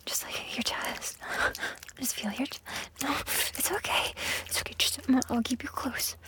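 Woman, high voice, breathy whisper throughout; repetitive, pleading audio-roleplay read. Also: fairly even intonation, moderate pace.

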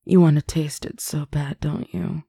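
A woman speaking an intimate roleplay in a teasing, commanding, sultry manner, close to the mic and often dropping into breathy whispers. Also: low voice, little pitch movement, measured pace, mellow, dry recording. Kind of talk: intimate adult roleplay audio.

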